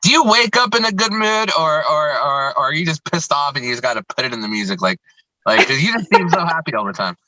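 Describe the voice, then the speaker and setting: A man's voice: fairly high pitch, animated, quick pace, bright, forceful tone, no echo, low-quality recording. A man hosting a music interview in casual, slangy chat, enthusiastic and often repeating himself. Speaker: music show host interviewing.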